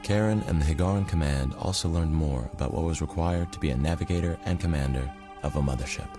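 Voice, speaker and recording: A man playing a solemn narrator of a space saga in a game cutscene, grave and measured. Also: extremely low pitch, over music. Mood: solemn, reverent.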